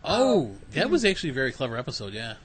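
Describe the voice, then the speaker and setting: A man's voice: mid-range voice, huge pitch swings, fast, a little hiss or hum. A man hosting a podcast, chatty and agreeable. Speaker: podcast host. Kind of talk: casual podcast chat.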